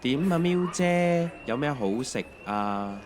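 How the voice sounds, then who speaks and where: A middle-aged man with a Hong Kong Cantonese accent, playing a mild husband who narrates an audio drama in a reflective, unhurried way. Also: fairly high pitch, expressive, background noise. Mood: casual, teasing. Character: mild, bumbling husband narrating his family's emigration. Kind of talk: scripted first-person narration in an audio drama.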